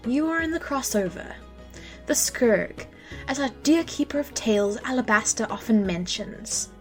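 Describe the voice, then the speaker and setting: A woman's voice: fairly high pitch, animated, normal speed, soft background music, close to an everyday voice. An actress playing a blunt, dry-witted female tavern keeper of the afterlife.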